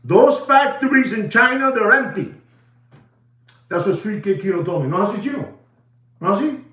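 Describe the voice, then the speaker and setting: A man's voice: high voice, big pitch swings, normal speed, fairly bright tone, reverberant. A man from New York with a Puerto Rican accent, chatting on a livestream, rambling with lots of fillers and bits of Spanish. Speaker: livestream host. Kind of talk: casual livestream chat.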